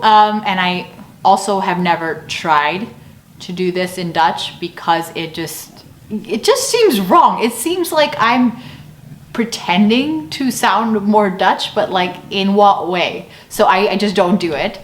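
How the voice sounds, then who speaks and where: A woman's voice: mid-range voice, lively intonation, slow. American woman in an echoey room. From United States. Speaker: video creator. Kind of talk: casual explanatory vlog talk. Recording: roomy echo, faint background noise.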